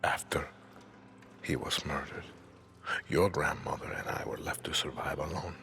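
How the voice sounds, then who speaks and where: An actor playing a calm, controlling middle-aged dictator in a video game, with a Latin American Spanish accent and a measured, menacing delivery. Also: male voice, a bass voice, vocal fry, faint music behind, exaggerated voice. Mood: brooding, solemn. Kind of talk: cinematic video game acting.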